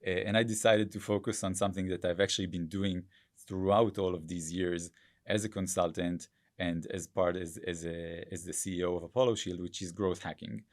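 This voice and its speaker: A man's voice: low voice, steady tone, a little fast. A man in his thirties or forties with an Israeli accent, a Hebrew speaker explaining things step by step as a podcast guest. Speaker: guest, growth hacker. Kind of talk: podcast interview.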